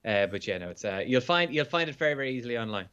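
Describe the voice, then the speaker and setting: An Irish man, a sports journalist, chatting as a radio guest, slightly croaky and hungover, with quick laughs and restarts. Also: mid-range voice, lively intonation, a bit bright, highs cut off. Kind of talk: radio phone-in chat.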